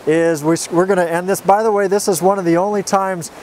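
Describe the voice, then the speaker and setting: A man's voice: high-pitched, steady tone, brisk, a little mellow, a little hiss or hum. A man working as a flight instructor, giving an informal, straightforward tutorial with folksy step-by-step explanations. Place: outdoors.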